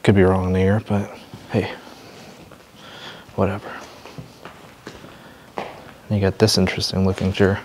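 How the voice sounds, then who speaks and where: A man narrating a walk through an abandoned building, calmly pointing things out as he goes. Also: a little slow, pauses often, slight background noise, low-quality recording. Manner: low-key, observational, thinking aloud. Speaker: urban explorer host. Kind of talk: walking vlog narration.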